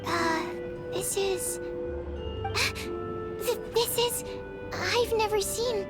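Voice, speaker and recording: A woman's voice: very high-pitched, very slow, full character voice. A woman voicing a shy, polite young female alchemist in an anime game, speaking hesitantly and with careful precision. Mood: amazed. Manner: timid, hesitant, precise, apologetic. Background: background music.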